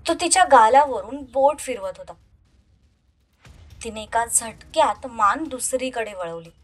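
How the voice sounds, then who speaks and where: A woman's voice: a little high, animated, measured pace. A woman narrating a scripted, dramatic love story. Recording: music under the voice. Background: soft background music.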